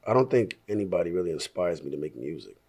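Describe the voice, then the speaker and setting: A hoarse man in his thirties from Staten Island, being interviewed casually about his music, laid-back and rambling, clearing his throat often. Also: low-pitched, big pitch swings, fast talker, over a noisy crowd. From Staten Island, New York. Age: 30s. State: hoarse, voice partly lost.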